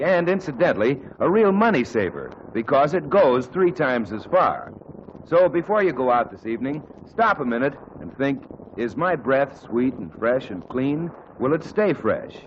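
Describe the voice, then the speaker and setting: A middle-aged man announcing a 1940s radio show and reading a commercial in a smooth, persuasive salesman style. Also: medium pitch, a little hiss or hum. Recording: muffled 1940s radio broadcast with music.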